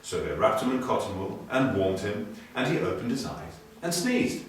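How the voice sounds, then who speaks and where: A man reading a children's story aloud with theatrical expression and clear enunciation. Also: lowish voice, big pitch swings, normal speed, slight background noise. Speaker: storybook reader. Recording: very echoey room, distant mic.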